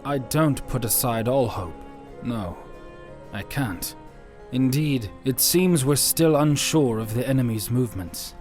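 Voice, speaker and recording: A man voicing an earnest, deliberate hero who is worried about a coming war. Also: medium pitch, lively intonation, a little mellow, soft background music, voice pushed up, lightly acted.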